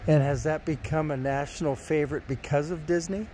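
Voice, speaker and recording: A man's voice: on the high side, steady tone, brisk, a crowd in the background. A man holding his phone while filming outdoors in a park, chatting casually and asking short curious questions. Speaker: vlogger. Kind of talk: casual vlog narration and interview. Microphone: phone camera he holds.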